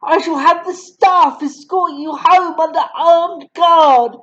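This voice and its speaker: A woman's voice: a little slow, darkish tone. A woman voicing a huge, cruel headmistress who sneers and barks insults at children, on a dull lo-fi recording. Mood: ranting. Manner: booming, sneering, spits insults. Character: huge bullying female headmistress, cruel, contemptuous.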